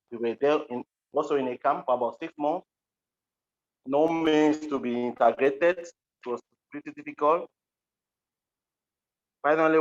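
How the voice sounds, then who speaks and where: Man, Cameroonian accent, average pitch, plain-spoken, hesitant, personal account, muffled online audio. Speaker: interview guest, interpreter. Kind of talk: recounting personal experience in an online panel. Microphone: video call.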